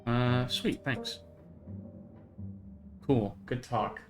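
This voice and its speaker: A man's voice: medium pitch, drawn-out words, over music. A man voicing an awkward, sarcastic visitor from the city in a visual novel, casual and a little hesitant. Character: sarcastic, awkward city visitor being shown around an old house. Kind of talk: reading visual novel dialogue aloud.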